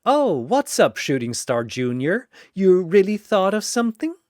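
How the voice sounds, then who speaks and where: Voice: medium pitch, very animated, measured pace, darkish tone, dry recording, studio quality, pitched above the performer's own voice. A narrator voicing a manic, gleeful triangle demon with a mocking, theatrical delivery in an audio story. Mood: gleeful, excited.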